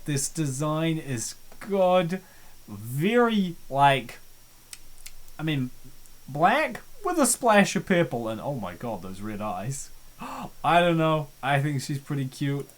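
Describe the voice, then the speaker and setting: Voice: medium pitch, very animated, slow pace. A let's-play host giving casual, chatty commentary and reading the on-screen game text aloud.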